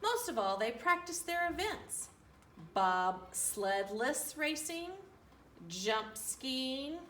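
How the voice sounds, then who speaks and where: Woman, high lively voice, slow singsong children's read-aloud, echoey room recorded from a distance. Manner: animated, deliberate, singsong delivery. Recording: roomy, distant mic, faint room noise.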